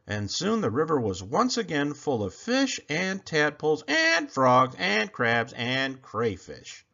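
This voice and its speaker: A man reading a children's picture book aloud in an expressive, animated storytelling manner. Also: on the high side, takes it slowly, vocal fry. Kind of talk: children's read-aloud narration. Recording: slightly muffled recording.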